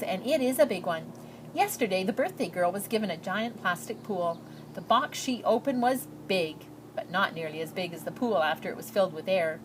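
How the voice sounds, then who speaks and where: A woman's voice: medium pitch, a bit bright, a little hiss or hum, natural voice, low-quality recording. Earnest young girl read by a woman, lilting. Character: earnest, shy, hopeful immigrant girl writing letters.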